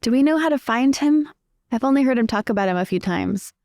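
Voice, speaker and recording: A woman's voice: a little high, lively intonation, fairly quick, darkish tone, no echo. Casual woman player, dry close recording. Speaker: tabletop game player. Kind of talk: casual improvised in-character chat.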